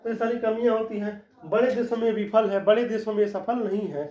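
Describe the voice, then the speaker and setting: High-voiced man lecturing in an echoey room. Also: fairly even intonation, a little fast, mellow. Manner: explanatory, repeats key points, frequent "ठीक" check-ins. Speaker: law teacher. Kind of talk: classroom-style lecture. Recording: echoey room, dull lo-fi sound.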